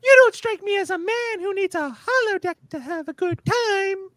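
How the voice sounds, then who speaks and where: A woman playing a brisk, flirtatious female starship officer in an amateur comedic radio play. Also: very high-pitched, fairly bright tone, no room sound. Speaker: podcaster acting in script reenactment.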